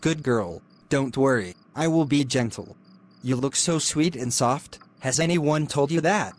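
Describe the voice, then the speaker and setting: A man's voice: fairly quick, natural voice. A man playing a menacing middle-aged lecturer. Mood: leering. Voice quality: low, quiet and menacing delivery. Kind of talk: amateur drama acting.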